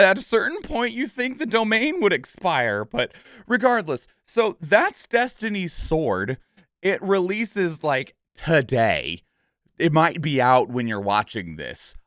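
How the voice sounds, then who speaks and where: A man's voice: fairly high pitch, a bit bright, no room sound, clean studio sound. A man giving casual live reaction commentary as a streamer, thinking aloud in a rambling, conversational way. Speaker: streamer and reaction video host.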